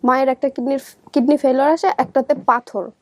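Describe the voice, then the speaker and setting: A young adult woman with a Bangladeshi accent telling her life story in conversation, halting and often repeating herself. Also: sits high, steady tone, dry recording.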